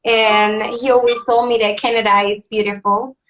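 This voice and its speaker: A woman's voice: on the high side, flat delivery, moderate pace, a bit bright, highs cut off, a little hiss or hum. Bubbly young woman. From Bolivia. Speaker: pageant contestant. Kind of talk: casual video call chat.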